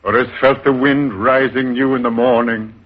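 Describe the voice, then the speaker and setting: A man's voice: mid-range voice, steady tone, normal speed, close to an everyday voice. Man doing a bossy old beggar master, big grand speeches, muffled old radio. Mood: commanding. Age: older. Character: commanding old male master beggar, cunning. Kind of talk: 1930s radio drama acting. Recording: dull 1930s radio recording.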